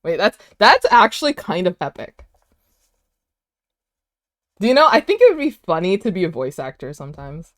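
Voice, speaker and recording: A woman, Vietnamese, talks loosely to her stream chat. Her delivery is animated and chatty, peppered with "like" and quick laughs. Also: on the low side. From United States. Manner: animated, chatty, laughs often, many "like" fillers. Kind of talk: casual livestream chat, reading chat aloud.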